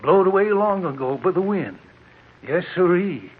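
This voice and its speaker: An actor playing a scoffing desert-town old-timer with a husky voice and folksy, knowing rustic talk in an old radio drama. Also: male voice, high voice, lively intonation, normal speed. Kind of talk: 1940s radio drama acting. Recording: tinny vintage radio recording.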